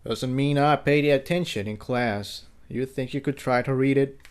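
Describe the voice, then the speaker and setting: A man playing a sarcastic young male unicorn inventor in a storybook reading, quiet, dry and musing. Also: medium pitch, moderate pace, clean tone, lightly acted. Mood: dry, curious.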